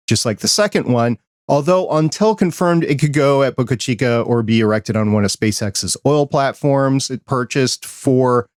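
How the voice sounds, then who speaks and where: A man's voice: medium pitch, dry recording. Dry-sounding chatty male podcast host. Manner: chatty, enthusiastic, conversational. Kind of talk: casual podcast chat and news roundup.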